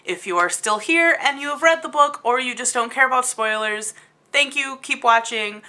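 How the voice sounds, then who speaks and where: A woman with a fairly high, very bright voice talks casually to her viewers as a book reviewer. She is opinionated and emphatic, with an exasperated, conversational delivery. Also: normal speed.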